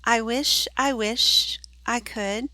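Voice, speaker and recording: A woman's voice: a little high, steady tone, slow pace, sharp tone, dry recording. A woman voicing a poor, meek young servant girl, timid and tearful, in a storybook read-aloud.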